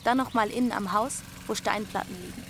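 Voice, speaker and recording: A woman's voice: mid-range voice, a little fast, noisy background, natural voice. A woman playing a young runaway girl who narrates in a plain, observant way in a radio drama. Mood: matter-of-fact. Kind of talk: scripted first-person narration.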